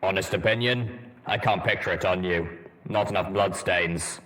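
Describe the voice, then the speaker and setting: A stern, stubborn soldier stallion, voiced by a male reader, very low in pitch. He intones each phrase slowly and emphatically, a gruff storybook character. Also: a bit bright, poor audio. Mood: deadpan. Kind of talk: audiobook character acting.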